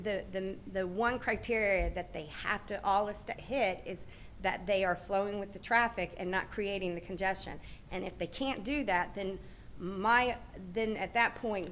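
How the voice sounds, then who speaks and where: A woman's voice: medium pitch, slight background noise. A woman with a Southern American accent, a city transportation official, methodically explaining policy at a public meeting. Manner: steady, methodical, repeats points for clarity. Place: large meeting room.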